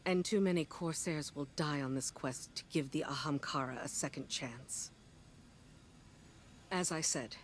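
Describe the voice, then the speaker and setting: An actress playing a resolute, embittered military commander in a video game, speaking in a formal, grave way. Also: female voice, deep voice, slight background noise. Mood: bitter, resentful. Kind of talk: video game cutscene acting. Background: background music.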